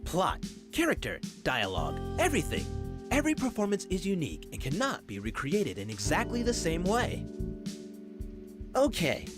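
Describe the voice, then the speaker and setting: Game role, male actor as theatrical improv coach: high register, hugely animated delivery, upbeat teacherly air. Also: moderate pace. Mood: enthusiastic, instructive. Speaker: improv instructor. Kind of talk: visual novel character acting. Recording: clear music behind the voice. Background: background music.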